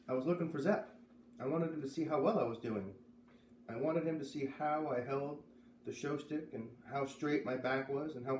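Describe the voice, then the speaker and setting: A man's voice: mid-range voice, a little mellow. Man reading a story aloud, echoey room. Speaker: reader of a children's novel aloud. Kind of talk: expressive storybook read-aloud narration. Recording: roomy, distant mic, faint noise.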